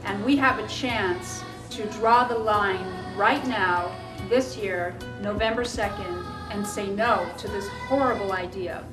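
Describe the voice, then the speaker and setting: A woman's voice: medium pitch, a little slow, hardly any pauses. A woman from Switzerland giving an earnest, emphatic public speech as an anti-drug activist. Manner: earnest, emphatic personal testimony. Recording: echoey room, distant mic, music behind.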